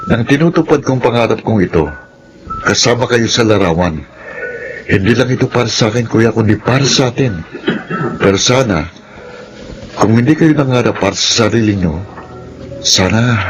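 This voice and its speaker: A man's voice: fairly low pitch, moderate pace, pauses often, a little hiss or hum, natural voice, poor audio. An actor playing a hardworking, frustrated young engineer who lectures his family, in an earnest radio drama style. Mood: sincere. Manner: earnest, preachy. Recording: music under the voice.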